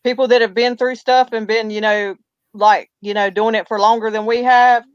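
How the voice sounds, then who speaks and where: A woman's voice: fairly high pitch, steady tone, moderate pace, clean tone, no room sound. A woman, chatting casually and telling a rambling personal story. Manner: rambling, talks through restarts and hesitations. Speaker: co-host telling ghost stories. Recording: muffled lo-fi audio.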